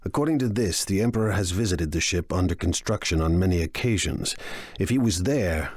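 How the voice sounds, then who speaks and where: Low-voiced, smug crime lord. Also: male voice, animated, brisk, darkish tone, no room sound. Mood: scheming. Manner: wry, self-assured, theatrical. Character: smug, scheming, ambitious male crime lord. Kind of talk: video game voice acting.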